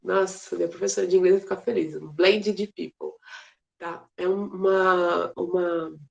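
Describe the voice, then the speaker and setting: A woman's voice: fairly low pitch, steady tone, moderate pace, creaky, muffled recording. A woman teaching a casual geography class, chatty and playful, with many fillers and restarts. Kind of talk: casual online class lecture.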